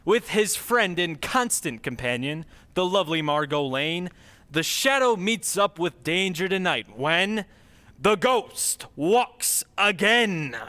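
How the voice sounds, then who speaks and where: A man's voice: high-pitched, big pitch swings, takes it slowly, bright, forceful tone. A man narrating a scripted show as an old-time radio announcer, with dramatic, theatrical delivery.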